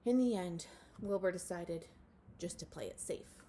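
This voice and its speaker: A woman reading a storybook aloud to children expressively, with animated asides to her listeners. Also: on the low side, measured pace, a little hiss or hum. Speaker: storybook reader.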